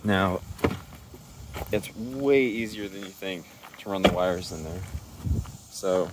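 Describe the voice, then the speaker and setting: Male DIY presenter, low pitch, informal explanatory delivery, lightly noisy recording around a car. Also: takes it slowly. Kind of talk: casual hands-on walkthrough.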